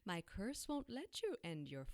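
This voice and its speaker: Cruel immortal witch, audio drama: mocking, theatrical, unhurried delivery with big melodic swings. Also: medium pitch, wildly swooping pitch, dry recording, clean studio sound. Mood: gloating, vicious. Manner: taunting, theatrical, drawn-out. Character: cruel, mocking, centuries-old witch who curses enemies.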